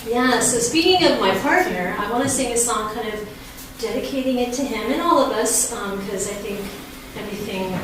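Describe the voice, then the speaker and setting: Woman singer-songwriter, casual halting intro, roomy church, distant mic. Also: fairly high pitch, animated. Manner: warm, personal, halting with fillers. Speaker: musician. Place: church sanctuary. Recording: echoey room, far from mic, noisy.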